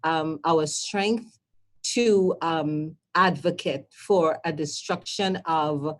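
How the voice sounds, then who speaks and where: Woman, trinidadian accent, earnest, halting, repeats words, lowish pitch. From Trinidad and Tobago. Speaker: healthcare advocate, panel guest. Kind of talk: passionate testimony on a panel.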